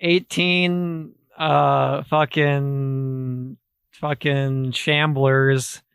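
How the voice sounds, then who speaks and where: A young man on a podcast with a gravelly yet resonant voice, joking around and stalling with fillers as he thinks. His speech is peppered with swearing, and the recording is dry and close. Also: mid-range voice, level tone, drawn-out words, fairly bright tone, no echo. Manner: joking, hesitant, swears a lot. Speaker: podcast co-host. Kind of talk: casual chat.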